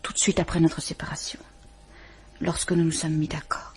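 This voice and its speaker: An actress playing a distraught woman questioned by a police inspector, speaking haltingly and often near a whisper, in a vintage French radio drama. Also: sits low, little pitch movement, darkish tone. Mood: subdued. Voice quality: breathy, trembling. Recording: old radio play recording.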